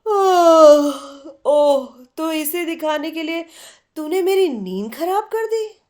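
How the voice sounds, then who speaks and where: A woman's voice: very high-pitched, expressive, slow, darkish tone, pitched above the performer's own voice, heavily acted. A woman voicing an earnest, irritable young female college student in a read story, teasing and scolding in exclamations. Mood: groggy, annoyed.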